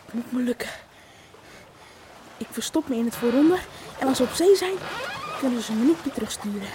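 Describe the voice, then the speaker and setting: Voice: mid-range voice, animated, fairly quick, close to an everyday voice. A performer playing a stubborn, accusing young twin boy who longs for the sea, in a radio drama with noise behind. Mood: hushed, determined.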